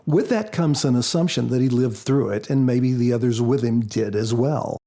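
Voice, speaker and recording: A man speaking as a guest author in a podcast interview, explaining in a measured, prepared style. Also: mid-range voice, warm tone.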